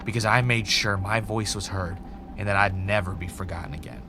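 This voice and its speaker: A man acting as a bitter ex-reality show winner confessing in an audio drama. His low voice is reflective and self-justifying, with dramatic pauses, over clear background noise. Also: fairly even intonation, natural voice. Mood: bitter, resolute. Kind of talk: confessional first-person scripted audio drama monologue.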